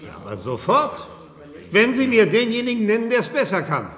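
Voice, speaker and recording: A man's voice: high-pitched, huge pitch swings, fairly quick, fairly bright tone, full character voice. A man playing a defensive, blustering clinic professor in a 1970s radio drama, emphatic and pompous, repeating himself. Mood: defiant. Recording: echoey hall with long reverb.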